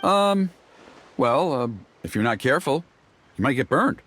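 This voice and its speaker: Earnest, highly animated young tribesman. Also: unhurried, a little hiss or hum. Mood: reassuring. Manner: explanatory, earnest. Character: earnest young tribesman explaining a sacred ceremony. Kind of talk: video game character voice acting. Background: music.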